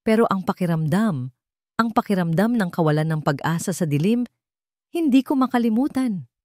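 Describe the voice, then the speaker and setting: Woman voicing a troubled, halting young man. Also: medium pitch, animated, mellow, no echo, voice pushed up, close to an everyday voice. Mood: pained. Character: troubled rich young man haunted by childhood fear.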